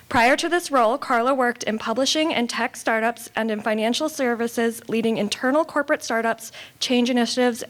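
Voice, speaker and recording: A woman host introducing the next speaker in a hotel ballroom. She reads in a formal way. Her voice is a bit high. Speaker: event host.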